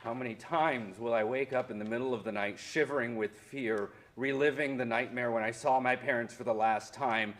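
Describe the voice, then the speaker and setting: A man playing a haunted, defiant history professor in a theatrical monologue, speaking deliberately and with dramatic emphasis. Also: medium pitch, steady tone, fairly bright tone, close to an everyday voice. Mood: grieving, bitter. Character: haunted Mexican-born history professor orphaned by deportation, wounded, defiant. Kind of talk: theatrical monologue in a staged reading.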